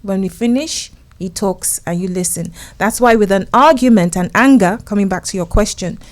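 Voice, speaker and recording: An earnest woman of middle pitch talks through relationship advice in a relaxed interview. She tells stories from her own marriage and acts out both sides of the conversation. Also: moderate pace. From England. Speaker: relationship consultant guest.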